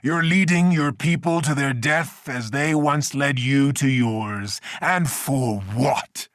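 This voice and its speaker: An actor playing a bitter, righteous, menacing mutated mastermind villain in a video game, with grandiose, theatrical delivery. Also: male voice, medium pitch, slow, no room sound, studio quality, close to an everyday voice. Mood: cold menace.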